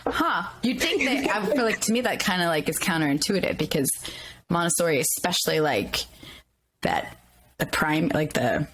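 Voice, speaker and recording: A woman with a slightly low voice hosts a casual conversation. She is informal and animated, with a wry streak. The recording carries some noise and small clicks. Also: normal speed. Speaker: podcast host. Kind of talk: casual chat. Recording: clear background noise, mouth clicks.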